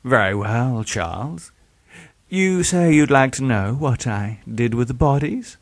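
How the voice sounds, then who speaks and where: A man's voice: mid-range voice, lively intonation, a little slow, no room sound, pitched above the performer's own voice. A man voicing an arrogant, murderous surgeon in an audiobook, with a sardonic, theatrical and falsely affable manner. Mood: smug.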